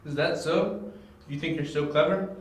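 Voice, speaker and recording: A man's voice: fairly high pitch, moderate pace, close to an everyday voice. A man playing a smug, menacing henchman, taunting and curt, in an amateur audio drama. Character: smug male henchman villain, menacing and dismissive. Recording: very echoey, noisy amateur recording.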